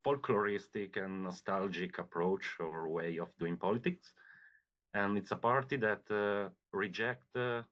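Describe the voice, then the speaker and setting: Low-voiced man. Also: slow, fairly bright tone, highs cut off. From Italian-speaking Switzerland. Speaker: interview guest. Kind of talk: halting explanation in a second language.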